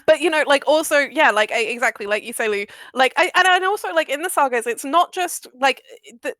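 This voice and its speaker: Animated, higher-pitched woman, emphatic and sweary. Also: brisk, dry recording. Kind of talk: animated casual discussion.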